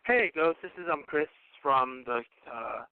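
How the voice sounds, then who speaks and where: A man's voice: mid-range voice, expressive, measured pace, creaky, clean tone, no room sound. A male prank caller asking mocking questions on a call-in show. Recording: dry tinny phone line.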